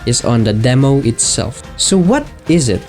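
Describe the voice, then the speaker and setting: A man's voice: medium pitch, animated, moderate pace. A man giving casual, scripted video commentary in an informal, self-deprecating, jokey way. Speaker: video essayist, channel host. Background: guitar and plucked-string music.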